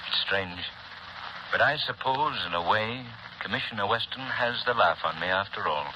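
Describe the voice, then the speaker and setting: Heard through the noise of an old radio recording is an actor as a composed gentleman sleuth. His lowish voice is measured, articulate and grave, in classic 1930s radio style. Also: highs cut off, close to an everyday voice. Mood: thoughtful.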